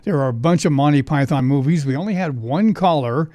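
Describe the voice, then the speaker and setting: A man with a slightly high voice hosts a casual oldies trivia program on the radio. He talks in a folksy, conversational way, and the sound is dry and close, with little room in it. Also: a little fast, dark tone, dry recording. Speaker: radio show host. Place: radio studio.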